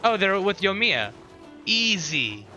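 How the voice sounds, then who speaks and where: A man's voice: sits high, big pitch swings, slow pace, bright, forceful tone, soft background music. A man chatting casually with viewers as he streams a game, repeating short phrases and thinking aloud.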